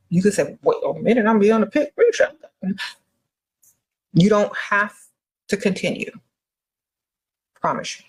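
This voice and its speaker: A woman's voice: mid-range voice, husky. A woman giving blunt, emphatic advice, leaning on repeated catchphrases.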